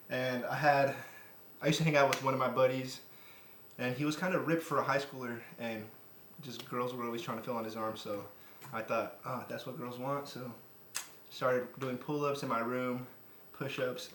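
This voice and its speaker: A man in his twenties co-hosting a casual podcast, low-key and laid-back, keeping his answers brief. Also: fairly bright tone, echoey room, a little hiss or hum. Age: 20s. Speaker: podcast co-host.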